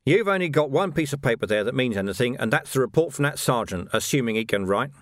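A man's voice: medium pitch, lively intonation, fast talker, a bit bright, no echo, studio quality, natural voice. A man as a terse, sardonic watchman. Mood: defiant. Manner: terse, challenging. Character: blunt, sardonic, stubborn watchman hero.

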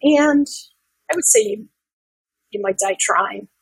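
A woman with a very husky, rough voice that often drops into fry, recorded close and dry. She speaks as an interview guest, earnest and conversational, working out her thoughts aloud. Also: mid-range voice, takes it slowly, dry recording, low-quality recording. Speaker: podcast guest, women's business advocate.

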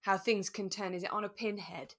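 A woman, chatting casually and in a relaxed way as a podcast co-host. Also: medium pitch, brisk. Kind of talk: relaxed casual chat about a radio drama.

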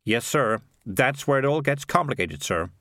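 A man's voice: mid-range voice, animated, normal speed, fairly bright tone, no room sound, clean studio sound, natural voice. A man voicing Morris, a cynical streetwise male cat who talks in a wry, grumbling, sarcastic way.

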